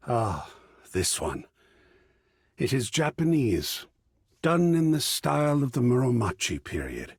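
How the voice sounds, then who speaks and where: A man's voice: mid-range voice, big pitch swings, slow pace, pauses often, breathy edge, no echo, clean studio sound, full character voice. An actor voicing an effusive, grandiloquent art connoisseur in a video game, savoring his words with dramatic flair. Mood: eager, gracious. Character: effusive, theatrical art connoisseur, a living piece of artwork. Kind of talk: theatrical game character dialogue.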